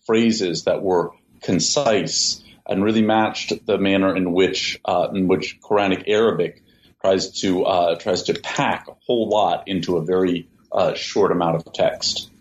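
A man answering interview questions at length, in a measured way, often repeating words as he thinks. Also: low voice. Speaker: interview guest, translator. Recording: dull lo-fi sound, faint hiss.

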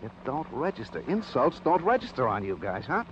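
A sarcastic, defiant projectionist played by a man in a vintage radio crime drama. His mid-pitched voice swings widely as he sneers and mocks. The old recording is muffled. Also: big pitch swings, fairly quick, slight background noise, natural voice. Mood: irritated, defiant. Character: surly, sarcastic, insolent male movie projectionist.

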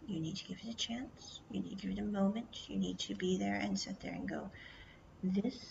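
A woman from the United States telling a rambling travel story, mostly in a hushed whisper, chatty and full of "I was like". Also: medium pitch, fairly quick. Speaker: vlogger. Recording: dull lo-fi audio with clear background noise.

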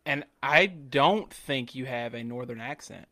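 Bright-voiced Southern man telling stories. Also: mid-range voice, a little slow. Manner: animated storyteller who acts out quotes. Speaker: podcast co-host. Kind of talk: casual profane chat.

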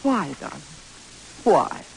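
A woman's voice: lowish voice, big pitch swings, slow pace, creaky, full character voice, poor audio. A proud, pleading housewife in a vintage radio play. She presses her questions in an insistent, theatrical way, with light hiss over the old broadcast. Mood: insistent, hurt. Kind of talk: 1940s radio drama acting.

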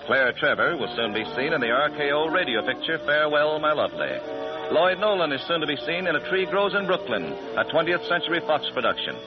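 Male vintage radio announcer: slightly high voice, formal, polished, dull old broadcast sound. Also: very animated, brisk. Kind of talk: scripted 1940s radio announcing. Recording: muffled 1940s radio broadcast with music.

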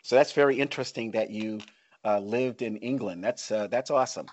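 A man's voice: lowish voice, brisk. A middle-aged man with an American accent, hosting a podcast with scripted introductions and interview talk. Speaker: podcast host.

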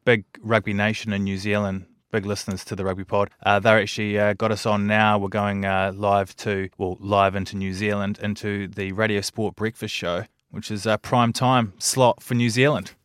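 A man's voice: lowish voice, flat delivery, normal speed, few pauses, fairly bright tone, clean tone, dry recording, studio quality. A man co-hosting a podcast, introducing a radio link with many hesitations. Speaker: podcast co-host. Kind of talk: casual podcast chat.

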